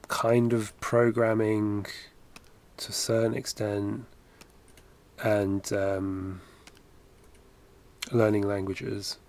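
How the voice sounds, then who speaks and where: A man's voice: on the low side, little pitch movement, extremely slow, pauses often, a little mellow. A man in his thirties chatting in a rambling, self-correcting way while drawing on a livestream, recorded on a headset at home. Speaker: animation streamer. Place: home studio, indoors.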